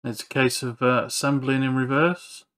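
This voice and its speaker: A man's voice: medium pitch, steady tone, normal speed, a bit bright. A man narrating a hands-on repair walkthrough in an understated, matter-of-fact way. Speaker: repair channel presenter.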